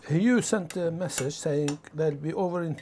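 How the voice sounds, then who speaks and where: A man from the Middle East or North Africa talking casually and reflectively, haltingly searching for words. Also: on the high side, background noise. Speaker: documentary interviewee.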